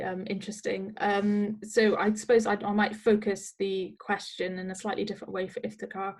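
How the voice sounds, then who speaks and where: Woman, panel moderator, mid pitch, flat monotone delivery, hesitant, some fillers. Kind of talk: asking questions in a panel talk.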